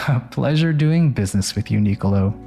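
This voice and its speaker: A man's voice: medium pitch, animated, vocal fry, warm tone, soft background music. An actor in an audio drama playing a cold, condescending crime-family rival who mocks and draws out his contempt. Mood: smug. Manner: mocking, drawn-out, contemptuous.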